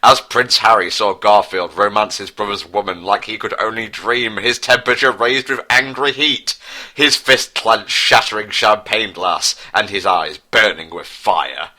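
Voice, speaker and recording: Male narrator, slightly low, intensely bright tone, pompous mock-dramatic reading. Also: steady tone, a little slow. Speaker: narrator, co-host.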